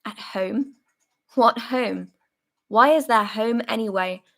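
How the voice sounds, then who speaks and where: A woman voicing a distraught male soldier who speaks in a hoarse, quivering voice, ranting and accusing as she reads a story aloud. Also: on the high side, takes it slowly, a bit bright, full character voice. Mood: anguished. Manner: agitated outburst, pressing and accusing.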